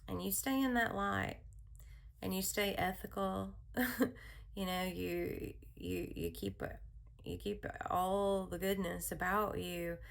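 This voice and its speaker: A woman hosting a spiritual wellness talk, speaking in a calm, soothing voice with a rambling manner and frequent laughs. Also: medium pitch, slow, vocal fry. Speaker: wellness channel host. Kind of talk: casual spiritual talk to viewers.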